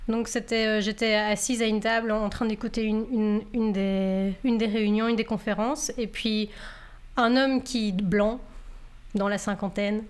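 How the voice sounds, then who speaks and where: A woman's voice: mid-range voice, level tone, normal speed, clean tone. A young adult woman from Belgium, a guest speaking casually and hesitantly, with many fillers and restarts. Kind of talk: casual conversation.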